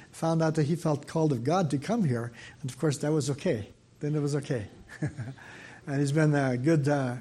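An older man, soft-voiced, giving a sermon as a guest preacher in a church, talking fast with frequent fillers and restarts. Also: on the high side. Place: church sanctuary. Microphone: microphone.

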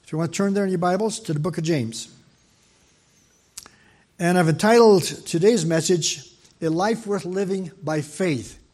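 An older man, soft-voiced, giving a sermon as a guest preacher in a church, talking fast with frequent fillers and restarts. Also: high-pitched, slightly rough. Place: church sanctuary. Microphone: microphone.

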